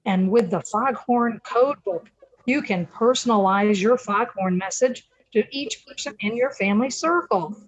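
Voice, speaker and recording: Over faint noise, a woman narrates in the style of an old-time radio show. Her pitch is average and her delivery is scripted and presentational, like an announcer. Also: measured pace, highs cut off.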